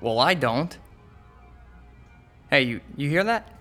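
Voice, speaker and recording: A man's voice: fairly high pitch, sing-song, slow pace, bright, forceful tone, soft background music, exaggerated voice. An actor playing an eager, nervous young man in an audio drama, babbling, ingratiating and repeating himself. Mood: defensive. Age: young adult. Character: eager, nervous young male comedian, half of a couple. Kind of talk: modern audio drama acting.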